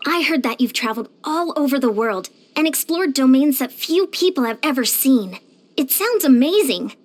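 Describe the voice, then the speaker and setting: A woman's voice: high voice, expressive, normal speed. A woman voicing a short, youthful female fitness coach in a video game, peppy, encouraging and earnest. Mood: friendly, sincere. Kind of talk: video game character voice lines.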